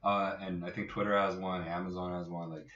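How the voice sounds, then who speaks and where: A man co-hosting a casual tech podcast as an app developer, explaining things in an informal, jokey, halting way. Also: deep voice, little pitch movement, fairly bright tone. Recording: echoey room, distant muffled mic.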